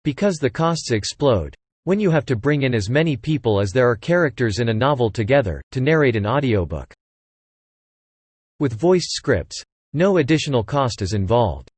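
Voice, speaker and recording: A man's voice: mid-range voice, very animated, normal speed, a little mellow, breathy edge, dry recording. A synthetic text-to-speech male voice with an American accent, reading a scripted promotional dialogue. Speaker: promotional narrator.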